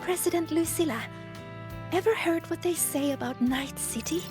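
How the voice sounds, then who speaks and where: A woman's voice: extremely high pitch, moderate pace, over music. An actress playing a cocky, spirited young student girl in anime-style game dialogue, playful and breezy.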